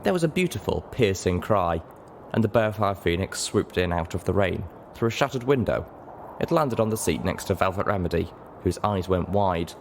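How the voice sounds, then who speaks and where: Male audiobook narrator, very low pitch, expressive, animated intonation, faint background noise. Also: normal speed. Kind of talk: expressive scripted story narration.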